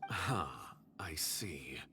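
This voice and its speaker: Low-voiced, scheming game villain. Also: male voice, animated, bright, forceful tone, a little hiss or hum, exaggerated voice. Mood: cool, guarded. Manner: measured, formal, disdainful. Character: shrewd, condescending male foreign agent, coolly scheming. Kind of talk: video game villain acting.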